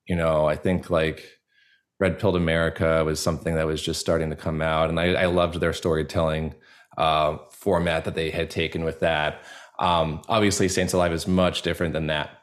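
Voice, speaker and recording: A young man with a deep, low-pitched voice speaks as a podcast guest. He is conversational and earnest, wandering through his thoughts with plenty of "like" and "you know" along the way. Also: steady tone, moderate pace. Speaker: podcast guest, actor and audio drama creator. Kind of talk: casual conversational interview answers.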